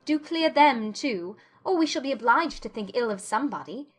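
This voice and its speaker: Woman playing witty young gentlewoman, highish voice. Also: animated, normal speed. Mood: teasing. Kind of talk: dramatized audiobook acting.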